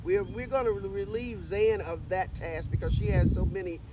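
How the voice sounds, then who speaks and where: A woman's voice: medium pitch, normal speed. A woman speaking as an interview guest in an exuberant, emphatic and self-assured conversational way. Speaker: interview guest, volunteer event decorator. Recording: distant mic, clear outdoor vehicle noise.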